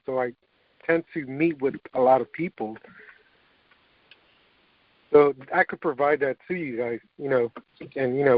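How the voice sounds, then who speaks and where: A man's voice: on the high side, no room sound. A man chatting casually on a group call in a loose, informal way, acting out little example quotes as he goes. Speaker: business owner on a group call. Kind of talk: casual chat. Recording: thin, tinny phone line.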